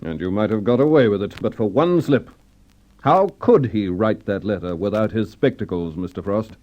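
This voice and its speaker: An actor playing a calm, shrewd police inspector in an old radio crime drama, speaking steadily. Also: male voice, on the low side, normal speed, lightly acted. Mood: firm. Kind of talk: 1950s radio drama acting. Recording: dull old radio recording.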